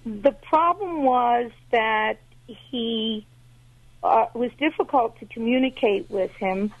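A woman's voice: slow pace, no room sound. Hesitant woman caller on a tinny phone line. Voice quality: high-pitched. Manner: hesitant, many fillers. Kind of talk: phone-in question.

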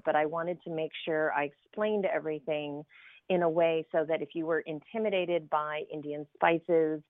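A middle-aged woman from the American South talks as a guest on a call-in radio segment. She rambles warmly with lots of fillers and false starts. Her slightly lower voice comes through a thin, dry phone line. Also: dry recording. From southern United States. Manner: chatty, many fillers and restarts. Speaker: cookbook author guest. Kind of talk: casual radio interview.

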